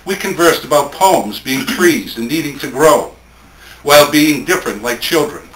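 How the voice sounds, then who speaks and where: A man speaking at a live poetry tribute in a plainspoken, measured way, between informal introduction and reading. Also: on the high side, expressive, fairly bright tone. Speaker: speaker reading a late friend's poems at a tribute. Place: indoor room at a live poetry event. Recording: roomy, echoing event recording with faint noise.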